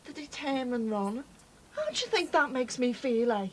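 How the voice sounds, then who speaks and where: A defiant, sharp-tongued woman, with a high voice and a biting way of answering back. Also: big pitch swings, normal speed. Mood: upset. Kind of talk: 1990s TV soap acting.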